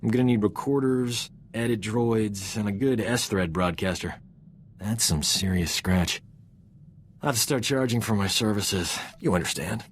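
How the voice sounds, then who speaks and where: An actor voicing a cocky, vain, glib space medic in a video game, with a low voice. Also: male voice, measured pace, slight background noise, natural voice. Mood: scheming, cheeky. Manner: boastful, flippant, glib. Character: cocky, vain, fast-talking roguish male space medic.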